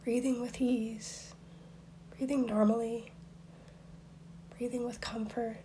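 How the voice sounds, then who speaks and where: A woman guiding a meditation in a soft, breathy, near-whispered voice, soothing and unhurried, with long pauses between instructions. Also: on the high side, level tone, slight background noise. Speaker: meditation guide. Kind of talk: guided meditation.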